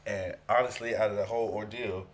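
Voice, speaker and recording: A man's voice: lowish voice, steady tone, brisk, slight background noise. A man co-hosting a podcast in casual chat, stuffy from a summer cold, laid-back and slangy. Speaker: podcast co-host.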